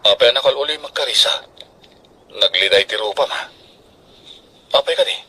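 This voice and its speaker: Earnest young student suitor, bright voice. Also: male voice, medium pitch, normal speed, husky. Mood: concerned. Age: young adult. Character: eager, soft-hearted young male student suitor. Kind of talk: Ilocano radio drama acting.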